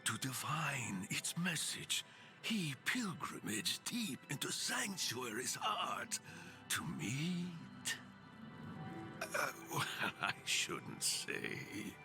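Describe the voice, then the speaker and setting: An actor playing a fervent zealot in a video game, speaking in halting, theatrical, reverent monologue that swells with breathy devotion. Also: male voice, mid-range voice, very animated, over music, full character voice. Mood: hushed reverence. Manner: rapturous, halting, dramatically drawn-out, breathy swells. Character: fervent, unsettlingly reverent zealot guiding a pilgrim to his holy master.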